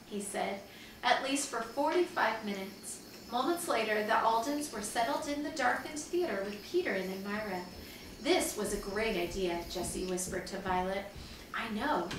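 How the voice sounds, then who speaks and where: A woman's voice: fairly high pitch, fairly bright tone. Echoey, distant woman reading a children's story. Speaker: teacher reading aloud to pupils. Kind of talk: storybook read-aloud for children. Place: living room at home, indoors. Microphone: far camera. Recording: distant mic, very echoey room, noisy.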